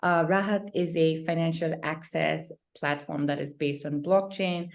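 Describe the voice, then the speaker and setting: Low-voiced woman from Nepal. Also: a little mellow. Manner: earnest, restarts sentences. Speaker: startup CEO. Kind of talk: panel discussion.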